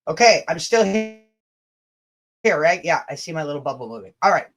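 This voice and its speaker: A woman's voice: low-pitched, expressive, fairly quick. A woman from Canada hosting a livestream, chatting casually and reading emails aloud with a blunt, sweary, sarcastic delivery. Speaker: livestream host. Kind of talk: livestream chat mixed with dramatic reading of emails.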